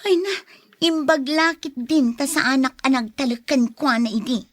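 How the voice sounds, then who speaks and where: A woman's voice: sits high, moderate pace, dry recording, natural voice. A woman with an Ilocano accent playing a coy young woman in a radio drama, often drawing out her interjections. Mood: worried. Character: Claire, coy young woman being courted. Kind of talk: Filipino radio drama acting.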